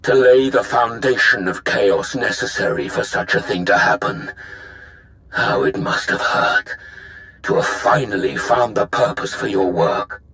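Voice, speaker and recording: An actor playing a brooding rebel Sith lord in a video game, orating gravely about power with a gravelly voice. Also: male voice, on the low side, sharp tone, rough recording. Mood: bitter brooding. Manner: slow, grave, oratorical. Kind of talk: dramatic video game monologue.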